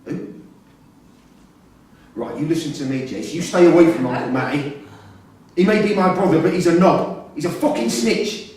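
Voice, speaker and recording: An actor in a staged reading playing an imprisoned father, pleading and insistent, quick to swear and anger. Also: on the high side, a little mellow, lightly acted. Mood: angry. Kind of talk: live staged play reading. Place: theatre-pub performance space, recorded from a distance. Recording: roomy far-off stage recording, faint noise.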